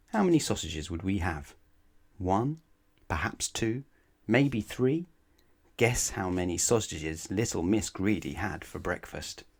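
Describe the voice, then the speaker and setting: A man reading a children's bedtime story aloud in a playful, emphatic way, addressing the young listener. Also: low-pitched. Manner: playful, emphatic, drawn-out key words, rhetorical questions to the listener. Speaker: storybook reader.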